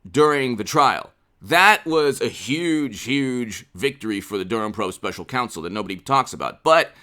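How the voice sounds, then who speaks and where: Casting: American male commentator, 40s, mid-pitched, unscripted and emphatic, repeats phrases for stress, close desk mic. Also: a bit bright. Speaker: political commentary channel host. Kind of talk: unscripted political commentary to camera. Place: small indoor room with poster-covered walls. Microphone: desk condenser microphone, close.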